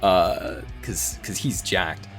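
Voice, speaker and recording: Low-pitched man improvising game narration. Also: fairly bright tone. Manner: halting, repeats words as he improvises. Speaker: player in a tabletop roleplaying show. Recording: music behind.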